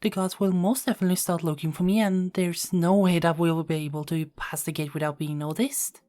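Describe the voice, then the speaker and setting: A woman playing a caring, dutiful young queen in an ASMR roleplay, speaking formally and softly with small hesitant stammers. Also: fairly low pitch, fairly even intonation, a little fast, close to an everyday voice. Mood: wistful, surprised. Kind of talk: ASMR roleplay, scripted character acting.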